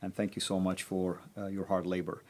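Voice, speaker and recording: Low-voiced man with measured, hesitant delivery. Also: monotone, darkish tone. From Saudi Arabia. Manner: measured, frequent pauses and fillers. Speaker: guest. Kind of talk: personal testimony in conversation.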